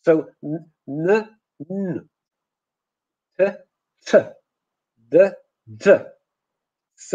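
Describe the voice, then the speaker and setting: Guy teaching english pronunciation, slowish and halting, kinda soft mellow tone, higher voice. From Poland. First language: Polish. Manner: hesitant, halting delivery with repeated words. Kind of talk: webinar lecture with demonstrated example words.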